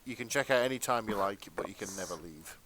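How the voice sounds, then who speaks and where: A British man co-hosting a podcast in casual comedic chat, with a deadpan delivery. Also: fairly low pitch, fast. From Britain. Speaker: podcast co-host.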